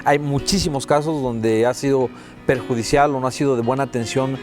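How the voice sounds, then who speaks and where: A man with a Mexican accent voicing a deaf guest's words as an interpreter in a TV interview, steady and first-person with many "eh" fillers. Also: mid-range voice, fairly quick, over music.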